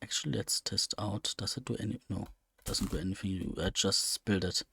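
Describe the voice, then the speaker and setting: A man's voice: low voice, brisk, gravelly, bright, forceful tone, slightly rough. A man giving casual, unscripted gameplay commentary, hesitant and rambling as he thinks aloud. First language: German. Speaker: let's play host.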